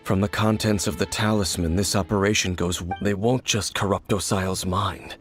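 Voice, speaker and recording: An actor playing a brooding, aloof male wanderer in a video game, speaking in a measured, solemn, knowing way. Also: low-pitched, fairly even intonation, faint music behind, close to an everyday voice. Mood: solemn, explanatory.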